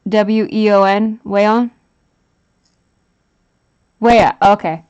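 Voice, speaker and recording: A woman's voice: mid-range voice, fairly even intonation, very slow, darkish tone, clear, resonant tone. A woman hosting a live stream, chatting casually and reading out and answering the chat. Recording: dull lo-fi sound.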